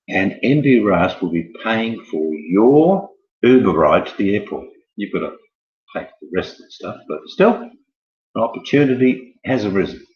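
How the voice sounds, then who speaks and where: A man with a low voice hosts an informal quiz. He rambles and jokes, building things up theatrically. The recording is dull and lo-fi, with clear room echo. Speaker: quiz host. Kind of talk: informal live online quiz hosting.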